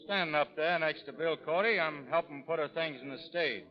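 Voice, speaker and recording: A man's voice: a little high, fairly quick, natural voice. An actor playing a laconic frontier gunman with a dry, folksy drawl in a vintage radio drama. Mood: curt. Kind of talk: 1930s radio acting. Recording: muffled vintage radio recording, music behind.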